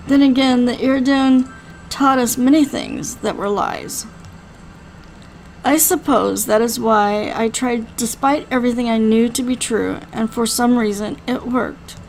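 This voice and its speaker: A woman voicing a calm, matter-of-fact male healer in a visual novel, measured and dryly reassuring. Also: fairly high pitch, vocal fry, rough recording. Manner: measured, dry, explanatory. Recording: faint background noise.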